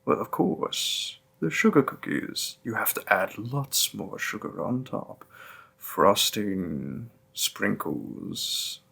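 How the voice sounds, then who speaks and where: Man playing a slow, tender demon boyfriend. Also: on the low side, sing-song, bright, forceful tone, heavily acted. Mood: playful, coaxing. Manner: tender, slow, soothing, breathy in places. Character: gentle affectionate male demon boyfriend, doting and playful. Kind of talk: intimate comfort roleplay.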